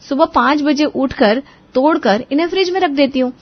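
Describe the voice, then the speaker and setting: A woman's voice: sits high, a little fast, muffled recording, pitched above the performer's own voice, close to an everyday voice. Woman acting a clever, burdened young daughter-in-law. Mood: coaxing. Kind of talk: Hindi moral story cartoon acting.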